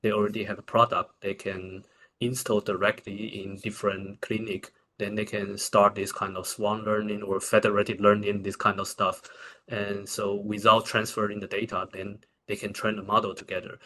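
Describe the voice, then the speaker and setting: Male researcher, Taiwanese accent, low forceful bright voice, halting informal phrasing in a conference Q&A. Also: flat delivery, a little hiss or hum. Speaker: researcher presenting. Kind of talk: conference question and answer.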